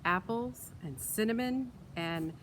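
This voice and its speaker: A woman's voice: fairly high pitch, slow, a little hiss or hum. A woman reading a children's story, voicing a wary, defiant boy with a firm, accusing manner.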